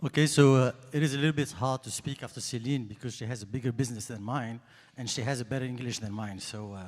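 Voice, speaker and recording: A man's voice: medium pitch, fast, darkish tone. Methodical man presenting in a reverberant hall. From born in Nigeria, grew up in Greece. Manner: earnest, methodical, lists facts step by step. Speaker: luxury executive presenting to students. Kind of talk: conference presentation. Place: large conference hall.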